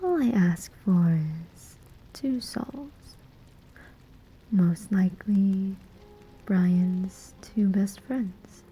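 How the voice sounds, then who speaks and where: A woman's voice: medium pitch, dark tone, rough recording. A woman playing a sly, menacing female demon who collects souls, in a teasing whispered ASMR roleplay. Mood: condescending, menacing. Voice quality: breathy whisper. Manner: slow, deliberate, teasing.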